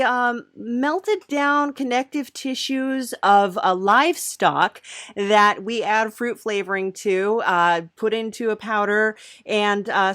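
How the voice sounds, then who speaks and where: Female podcast host, fairly high voice, unhurried and chatty, playful comedy interviewing, close dry sound. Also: fairly bright tone, dry recording. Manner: halting, giggly, chatty.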